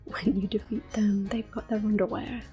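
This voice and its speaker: A young woman whispering gentle, unhurried commentary while playing a video game for an ASMR video. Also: mid-range voice, steady tone, soft background music. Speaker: ASMR gaming host.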